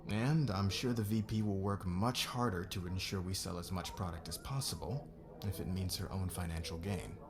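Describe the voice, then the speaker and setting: A man's voice: low-pitched, steady tone, moderate pace, few pauses, a crowd in the background. A man playing a demanding, driven fashion boss in an ASMR roleplay audio drama, with a commanding, persuasive manner. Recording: clear background ambience noise.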